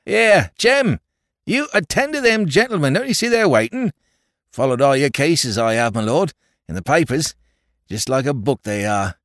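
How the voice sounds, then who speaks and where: A man's voice: mid-range voice, normal speed, dry recording, studio quality, natural voice. A man voicing an eager, talkative Cockney pub landlord of about fifty-five, in a London Cockney accent. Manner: chatty, eager, dropped grammar.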